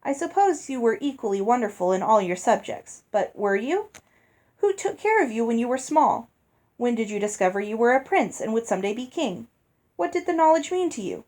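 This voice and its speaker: A polite, curious young girl as a woman reads her, composing a letter in a storybook. Her voice is fairly high and courteous in its wording. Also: sing-song, brisk, darkish tone. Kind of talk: storybook letter read aloud.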